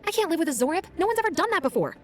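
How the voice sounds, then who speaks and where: A woman's voice: very high-pitched, very animated, racing, slight background noise. A woman voicing a troubled, searching young woman in a fantasy video game, earnest and dramatic. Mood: shaken, resolute.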